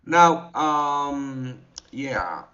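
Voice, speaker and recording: A man hosting a trading livestream in a relaxed, halting way, with many fillers as he answers the chat. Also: medium pitch. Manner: reads and answers chat directly. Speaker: trading show host. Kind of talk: casual livestream market talk. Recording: dull lo-fi livestream audio.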